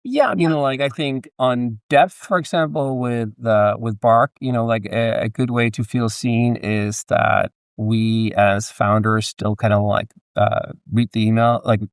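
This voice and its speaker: A man with a Danish accent speaking casually as an interview guest, in a somewhat halting, hedging way. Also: medium pitch, fairly even intonation, clear, resonant tone, dry recording, clean studio sound. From Denmark. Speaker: podcast guest, entrepreneur and author.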